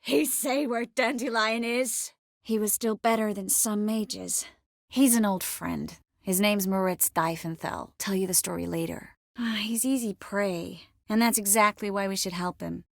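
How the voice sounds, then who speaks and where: A woman voicing a witty, caring, self-assured young sorceress in a video game, often dropping to soft, intimate murmurs. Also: mid-range voice, fairly even intonation, a little slow, gravelly, no room sound, clean studio sound. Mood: conversational, composed. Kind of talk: scripted game character dialogue. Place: close studio recording.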